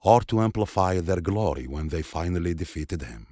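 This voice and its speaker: An actor playing a grave man who recounts a wartime defeat as a somber, measured game cutscene narrator. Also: sits low, lively intonation, heavy background noise.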